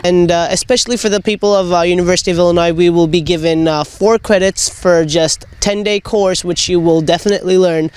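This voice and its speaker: A man student giving an upbeat testimonial outdoors into a handheld mic, talking in quick bursts. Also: high-pitched, fairly even intonation, a little hiss or hum. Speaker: exchange student.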